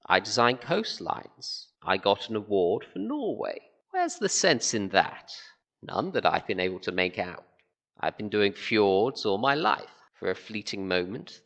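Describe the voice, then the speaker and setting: Man playing a creaky, whimsical old alien gent. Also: lowish voice, fairly bright tone, exaggerated voice. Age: older. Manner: rambling, whimsical, world-weary. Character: weary old male alien planet designer, eccentric, resigned. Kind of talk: amateur audio drama acting.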